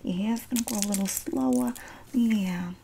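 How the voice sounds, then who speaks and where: A woman's voice: medium pitch, warm tone, lightly acted. Whispering sassy prison diva played by a woman. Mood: teasing. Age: young adult. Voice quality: breathy whisper. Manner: sassy, streetwise slang, drawn-out terms of endearment. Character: sassy female prison inmate diva, comforting bunkmate. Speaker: ASMR creator acting a roleplay. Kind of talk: whispered ASMR roleplay. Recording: close mic with clear background noise.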